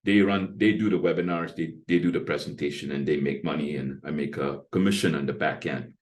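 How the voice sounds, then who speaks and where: A man in his sixties with a deep bass voice, talking at length as an interview guest and explaining his approach point by point. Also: brisk, darkish tone. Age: 60s. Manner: talkative, explains with lists and asides. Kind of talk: casual interview talk.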